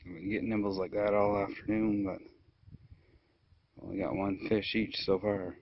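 A man's voice: lowish voice, level tone, normal speed, lo-fi sound. A man giving casual running commentary on his fishing, recorded outdoors on a camera microphone. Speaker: angler filming his fishing. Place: outdoors on a frozen lake. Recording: noisy outdoor camera audio.

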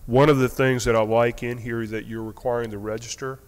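A man's voice: medium pitch, level tone, fairly quick, clean tone. A middle-aged man commenting as a council member at a meeting, speaking into a desk microphone in a chamber. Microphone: gooseneck desk microphone. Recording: reverberant hall, noticeable background noise.

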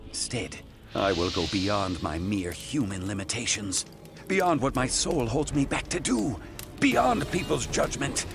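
An actor playing an obsessive, wealthy supervillain in an animated game, speaking in a formal, theatrical, deliberate way. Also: male voice, mid-range voice, vocal fry, music in the background. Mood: obsessive resolve. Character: obsessive, imperious, wealthy male supervillain with grand ambitions. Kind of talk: dramatic animated game cutscene acting.

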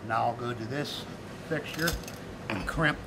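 Middle-aged man, factory worker, plain matter-of-fact explaining, loud clanking workshop behind. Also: mid-range voice, unhurried, vocal fry, heavy background noise. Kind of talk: explaining his work on a factory tour. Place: large factory workshop, indoors.

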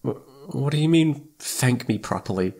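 A sweet, needy young boyfriend, acted by a man for an ASMR roleplay. His mid-pitched voice has plenty of creak in it. He is playful and pouty, teasing closely and tripping over words when flustered. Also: expressive, normal speed, a little mellow. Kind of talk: intimate ASMR roleplay acting.

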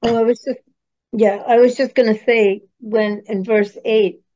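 A woman's voice: on the high side, steady tone, measured pace, dark tone, lo-fi sound. A woman takes part in an online Bible study, speaking up eagerly and affirming what the teacher says. She adds her own points over the video call.

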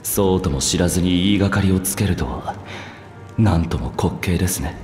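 A man playing a scholarly young prince in a video game, speaking in a formal, measured and articulate way. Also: sits low, a little mellow, lightly acted. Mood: coolly disdainful. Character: composed, scholarly young prince, politely cutting. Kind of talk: Japanese video game voice acting. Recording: echoey hall reverb, background music.

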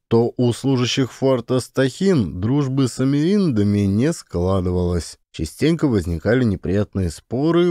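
Male narrator for adventure audiobook: lowish, dark and relaxed tone, scripted storytelling. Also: no room sound, studio quality.

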